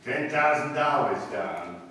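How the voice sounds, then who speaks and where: A man performing an ominous, taunting radio mystery narrator in a brooding, deliberate 1940s suspense style. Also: a little high, animated, sharp tone. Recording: very echoey hall, distant mic, faint noise.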